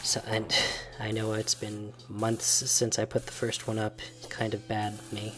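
A man, sick and croaky, casually giving a channel update as a gaming host. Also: lowish voice, completely flat delivery, measured pace. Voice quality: creaky. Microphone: desktop microphone.

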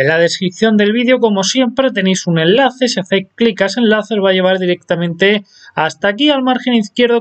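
A young man with a Spain Spanish accent, hosting a deals channel and walking through a product page in upbeat promotional patter. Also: high-pitched. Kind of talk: screen-recorded product walkthrough.

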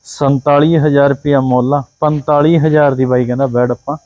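A Punjabi-accented man reels off a cow's traits in quick singsong checklists on a farm yard. His voice sits at a middling pitch. The audio is a little dull and lo-fi. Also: level tone. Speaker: livestock video host. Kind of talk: casual livestock sales commentary. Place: outdoor dairy farm yard.

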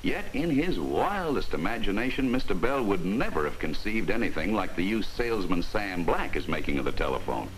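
An American man delivers 1950s training film narration in a very low voice. His intonation swings widely, with wry, folksy emphasis. A faint hiss from the old film soundtrack runs behind him. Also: sing-song, brisk, few pauses, poor audio.

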